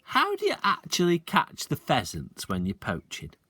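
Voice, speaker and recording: A man's voice: on the high side, wildly swooping pitch, normal speed, bright, forceful tone, slightly rough, no echo, voice pushed up, exaggerated voice. A man voicing an earnest young boy who is easily shocked, reading a storybook aloud. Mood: eager.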